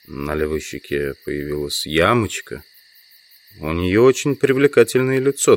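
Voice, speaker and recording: An actor playing an inquisitive, sceptical insect collector from the city in an audio drama. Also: male voice, lowish voice, natural voice. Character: male amateur entomologist, inquisitive, sceptical, politely insistent city visitor. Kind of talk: Russian audio drama, dialogue and inner monologue.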